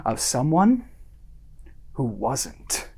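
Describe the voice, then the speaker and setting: This is an actor playing a smug content creator in a radio drama monologue, preaching success with theatrical condescension. The pitch sits in a middle register but swings dramatically. The pace is slow, with deliberate, self-satisfied pauses. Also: very animated, a little mellow, husky, heavily acted. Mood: self-satisfied, preachy.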